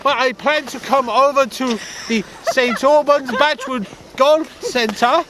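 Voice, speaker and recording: A man's voice: extremely high pitch, takes it slowly. A man playing a giggly, boastful Norwegian would-be tobogganist, speaking broken English in a put-on foreign accent outdoors. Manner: boastful and earnest. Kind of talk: improvised comedy sketch. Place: outdoors on a snowy slope. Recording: noisy outdoor recording, traffic nearby.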